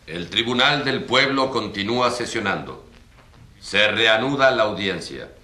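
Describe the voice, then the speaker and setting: A man's voice: on the low side, expressive, a little slow. A man playing a formal, stern judge presiding in a radio drama. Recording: echoey courtroom sound, loud noise.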